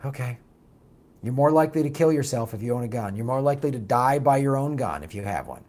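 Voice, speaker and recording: A raspy man hosting a political talk show, reading viewer comments and answering them bluntly in a casual, animated way. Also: medium pitch, fast. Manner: blunt, animated, mocks opponents with put-on quotes.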